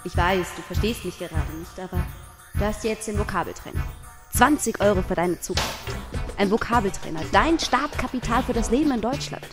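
A woman's voice: medium pitch, expressive, fairly quick, lightly acted. A woman playing a young, self-absorbed, condescending well-to-do wife in a surreal radio play. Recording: music under the voice.

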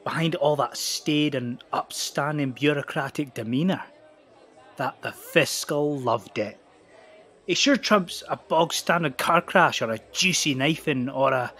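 An actor playing an irreverent, mocking cynic with a sardonic, slangy delivery in an audio drama. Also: male voice, a little high, a bit bright, breathy edge, heavily acted. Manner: sardonic, colloquial.

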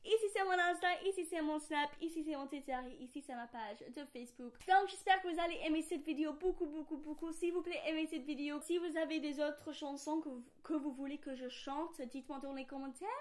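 A woman's voice: high-pitched, fairly quick, hardly any pauses. A young woman from England, speaking French with an English accent, chatting casually to camera as a vlogger in her bedroom. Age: young adult.

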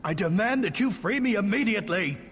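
An actor playing a fearful, indignant middle-aged captive mage in a video game, agitated and theatrical. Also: male voice, sits high, expressive, brisk, a bit bright. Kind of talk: video game dialogue acting. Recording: long reverb, background noise.

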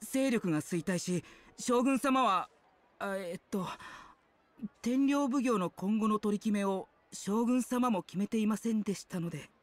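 An actor playing an anxious young clan retainer in an anime game, humble, apologetic and worried. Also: male voice, high-pitched, unhurried. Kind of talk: Japanese anime game voice acting.

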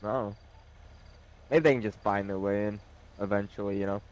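A man's voice: fairly low pitch, fairly even intonation, normal speed. A man chatting casually as a player in a group gaming voice chat. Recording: dull lo-fi voice chat.

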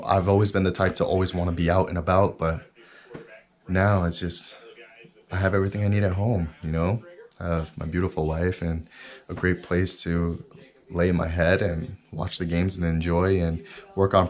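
Deep-voiced man vlogging in his basement. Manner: rambling, earnest, many fillers and restarts. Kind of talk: casual vlog to camera. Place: small finished basement room with bed, indoors.

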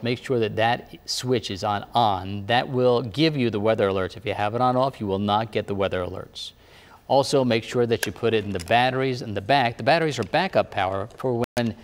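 A man working as a TV meteorologist, calmly explaining a device one step at a time. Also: mid-range voice, in a large hall. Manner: plain, patient instructive talk. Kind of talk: step-by-step demonstration. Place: TV studio weather set.

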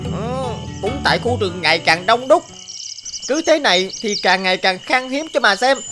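A woman's voice: on the high side, animated, moderate pace, close to an everyday voice. A woman voicing grumbling, sly forest animals in a children's cartoon, over music.

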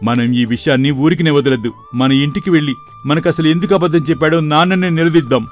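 A man voicing an earnest, excitable young village brother in an animated moral story, with emphatic delivery. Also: fairly high pitch, brisk, close to an everyday voice. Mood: indignant. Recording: voice over background music.

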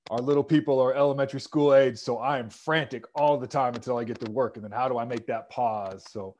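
A man in his forties moderating a video call panel from an office, relaxed with many fillers. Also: mid-range voice, quick pace, dull audio, a little hiss or hum. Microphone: earbud headset on a video call.

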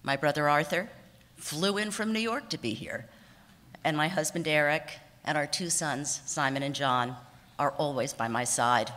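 A woman in her sixties giving an award acceptance speech from notes at a podium, warm and self-deprecating, with small laughs and restarts. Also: low-pitched, moderate pace. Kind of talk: prepared speech read from notes. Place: banquet hall stage at a podium. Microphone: podium microphone on a stand. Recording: reverberant banquet hall.

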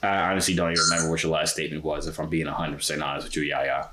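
Fast-talking young American man, playful. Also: very deep voice, flat delivery, bright, forceful tone. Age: 20s. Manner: playful, teasing. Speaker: vlogger chatting with strangers online. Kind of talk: casual slangy chat.

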